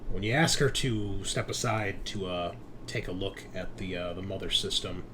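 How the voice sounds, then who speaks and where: A man's voice: deep voice, moderate pace. A man narrating a tabletop roleplaying game as the game master. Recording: noticeable background noise.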